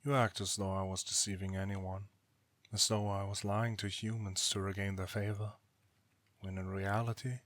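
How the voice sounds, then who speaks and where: Casting: cold, proud immortal brother played by a man, lowish voice, dry close sound, formal and disdainful. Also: flat delivery, fairly bright tone, dry recording, lightly acted. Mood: scornful. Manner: formal, haughty, measured rhetoric. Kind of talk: scripted audio roleplay drama acting.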